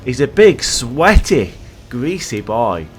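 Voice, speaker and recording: Male gaming host, hoarse with a cold, enthusiastic and jokey, mid-range voice. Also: medium pitch, big pitch swings, slow pace, a little hiss or hum. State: croaky from a cold. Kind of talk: casual gameplay commentary.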